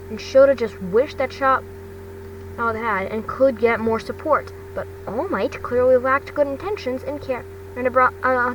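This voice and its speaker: Female amateur story reader, higher-pitched, stumbling delivery, recorded off-mic with noticeable noise. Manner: halting reading, stumbles over words. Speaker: amateur fan fiction reader. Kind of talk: scripted narration, reading a story aloud. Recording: distant mic with loud rumbling noise.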